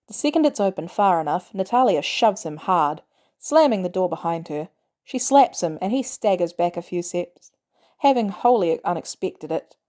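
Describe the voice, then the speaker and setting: A woman reading fiction aloud as an audiobook narrator, in an expressive storytelling style. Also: medium pitch. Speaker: audiobook reader.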